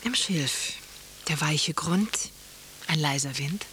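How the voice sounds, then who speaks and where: A woman's voice: sits low, slow pace, fairly bright tone. Hissy recording of a seductive woman. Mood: coolly seductive. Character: sultry, scheming, seductive married woman Marianne. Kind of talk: literary radio play acting. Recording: hissy, slightly noisy radio recording.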